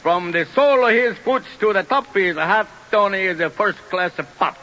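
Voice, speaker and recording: An actor playing a jolly, excitable older Italian grape grower with a thick Italian accent, broken English and a stammer, in 1940s radio acting. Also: male voice, high voice, moderate pace. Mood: jovial. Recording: dull old radio broadcast with faint hiss.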